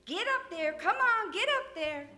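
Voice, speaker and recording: Feisty frontier ranch woman for radio drama: very high, piercing, animated and exclamatory. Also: unhurried, a little hiss or hum, heavily acted. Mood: urgent. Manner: exclamatory, muttering to herself. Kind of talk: old-time Western radio acting.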